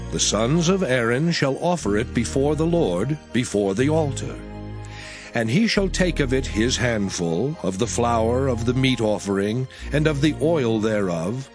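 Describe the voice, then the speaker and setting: A man reads scripture in a measured, expressive voice. His pitch moves in lively arcs on each phrase. Clear background music plays under him throughout. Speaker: scripture reader.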